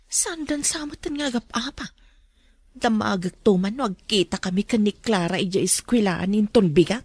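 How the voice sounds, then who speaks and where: A woman playing a nosy, excitable, teasing gossip in an Ilocano radio drama. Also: on the high side, husky, no echo, full character voice.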